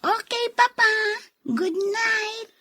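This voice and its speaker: A woman voicing a sweet, obedient little child in a radio drama, speaking slowly with an Ilocano accent. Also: extremely high pitch, fairly bright tone, full character voice.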